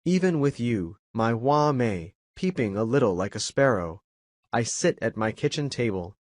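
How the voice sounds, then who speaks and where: A man's voice: mid-range voice, expressive, moderate pace, no echo, studio quality, lightly acted. A man reading as a dignified old grandfather. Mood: reflective. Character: stubborn old grandfather, quietly proud.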